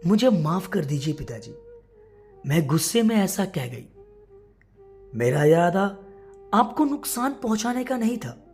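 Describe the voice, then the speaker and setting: A woman narrator acts out a young daughter-in-law in a family tale, resentful and evasive. Her delivery is measured and dramatic, with wide swings of pitch and soft music underneath. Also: very animated. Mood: apologetic.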